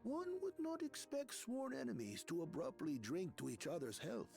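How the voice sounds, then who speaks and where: A man's voice: fairly high pitch, huge pitch swings, moderate pace, gravelly, darkish tone, soft background music, exaggerated voice. An actor voicing a solemn male narrator who recounts a remembered legend in a game. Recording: game score playing behind.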